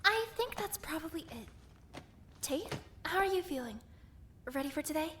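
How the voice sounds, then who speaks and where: A woman voicing a very high, bubbly cheerleader type. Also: big pitch swings, normal speed, fairly bright tone, slight background noise. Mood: cheerful. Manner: chirpy and eager. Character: bubbly, upbeat young woman cheering up the group.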